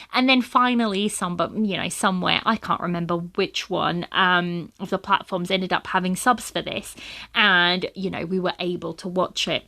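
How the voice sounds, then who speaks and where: A woman's voice: lowish voice, bright, forceful tone. A woman hosting a podcast in a chatty, rambling way, her first language Portuguese, a bit sniffly from a cold. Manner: chatty and rambling, frequent fillers. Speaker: podcast host. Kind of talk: casual solo podcast chat.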